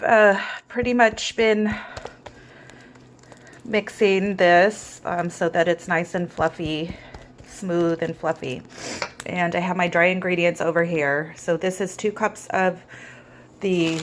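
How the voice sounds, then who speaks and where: A woman with a cold walks through a home cooking tutorial in a somewhat low, raspy voice. She gives relaxed step-by-step instructions with plenty of ums. Faint background noise sits under her voice. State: hoarse with a cold. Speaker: home cook.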